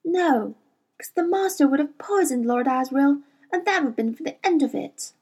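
A woman's voice: high-pitched, lively intonation, normal speed, a little mellow, voice pushed up. A woman voicing a cautious shape-shifting animal companion. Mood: teasing. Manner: cautious, teasing, sulky. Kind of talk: audiobook character reading.

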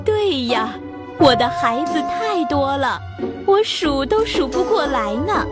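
A woman's voice: sits high, big pitch swings, takes it slowly, over loud music, exaggerated voice. Woman doing a proud old mother turtle. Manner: boastful, scornful. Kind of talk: storybook character voice.

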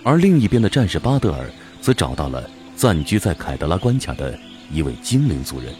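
Deep fantasy narrator. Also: male voice, sing-song, fairly quick, darkish tone, soft background music. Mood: storytelling. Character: solemn male storyteller of fantasy tale. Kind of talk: scripted dramatic narration.

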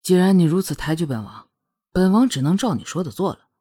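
A woman's voice: lowish voice, expressive, a little fast, dark tone, breathy edge, voice pushed down. A woman voicing a smug, seductive, possessive prince in a storybook romance, teasing and commanding as she drawls.

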